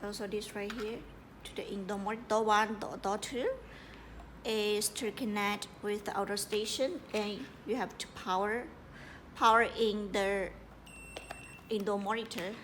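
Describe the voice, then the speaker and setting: A woman giving a hands-on installation tutorial as a product presenter, speaking in halting, piecemeal phrases with repetition. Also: mid-range voice, steady tone, a bit bright. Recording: echoey room with clear background noise.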